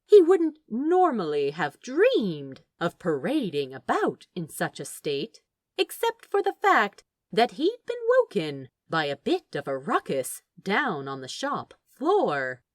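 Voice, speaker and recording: Woman reading fiction aloud, slow pace, super animated intonation, dry close sound. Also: lowish voice, no room sound. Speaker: audiobook-style narrator of fan fiction. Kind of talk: scripted story narration.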